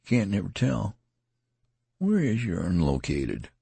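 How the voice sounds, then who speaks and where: A man's voice: fairly low pitch, wildly swooping pitch, slow, gravelly, warm tone, husky, no echo, pitched above the performer's own voice, heavily acted. A man voicing a wounded, ragged soldier who rambles on in a folksy country dialect. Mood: rambling, worried. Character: wounded ragged male soldier, garrulous and rustic.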